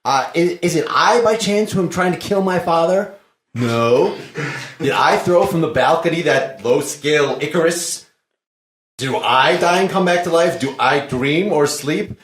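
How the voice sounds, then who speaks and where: A man's voice: a little high, expressive, unhurried, fairly bright tone. A man voicing a chatty, cowardly clown servant in a play reading, protesting in bewildered, mock-grand phrases. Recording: roomy, echoey, faintly noisy room.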